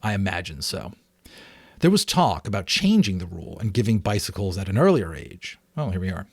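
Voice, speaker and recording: A man reading a novel aloud as a dramatic audiobook, expressive, with offhand asides. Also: deep voice, a little fast, creaky, husky, no room sound. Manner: expressive storytelling. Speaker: amateur audiobook reader.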